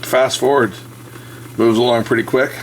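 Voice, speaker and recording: A middle-aged man giving a casual, unscripted hands-on repair commentary, thinking aloud with pauses as he works. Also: mid-range voice, fairly even intonation, a little hiss or hum, rough recording. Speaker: electronics repair hobbyist.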